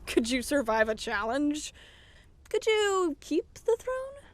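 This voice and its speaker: Female actor, cartoon young dragon, high bright voice, slow pace, animated pitch, blunt, forceful. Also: heavily acted. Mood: challenging. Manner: pointed, challenging. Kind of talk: animated fan dub acting.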